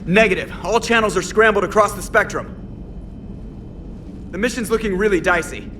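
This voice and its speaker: Tense, high-voiced shuttle pilot. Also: male voice, moderate pace, sharp tone, a little hiss or hum. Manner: clipped, reporting. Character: tense young male military shuttle pilot. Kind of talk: cinematic sci-fi game dialogue.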